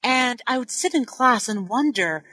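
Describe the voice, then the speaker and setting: A woman in her forties, a historian born in Nigeria and a native Igbo speaker, telling her story in an interview with measured, thoughtful speech. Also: on the high side, lively intonation, sharp tone, dry recording. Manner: thoughtful, self-correcting, measured. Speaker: historian and author. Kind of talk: interview, personal account.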